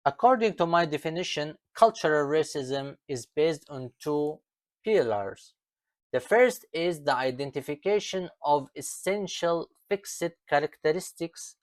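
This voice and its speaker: Author, native Arabic speaker, male, slightly high voice, deliberate lecture-like delivery, dry close sound. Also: no room sound. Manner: deliberate, lists items slowly with falling ends. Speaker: author presenting his book. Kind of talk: measured explanatory talk.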